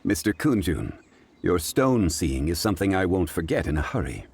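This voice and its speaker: A composed scholarly gentleman from a video game, with a low, deep voice. He speaks formally and deliberately, calm and grave, with faint noise behind. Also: gravelly, heavily acted. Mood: courteous.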